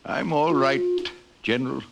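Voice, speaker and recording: A male actor playing a dry, put-upon but quietly defiant professor in a 1950s radio drama. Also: medium pitch, fairly even intonation, moderate pace, vocal fry, heavy background noise, close to an everyday voice. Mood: defensive, confident. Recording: old radio recording with loud music.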